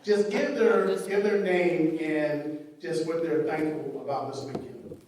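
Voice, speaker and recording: Male panel moderator, rather high voice, booming church echo, mic at a distance; loose, filler-heavy delivery. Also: measured pace, a little mellow, slight background noise. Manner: conversational, loose, with frequent fillers. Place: church sanctuary.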